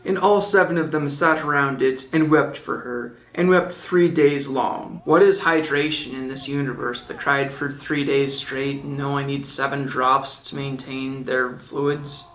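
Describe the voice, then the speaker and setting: A man's voice: medium pitch, fairly even intonation, unhurried, hardly any pauses, fairly bright tone, echoey room. A man reading a storybook as an audiobook narrator, with the odd joking aside.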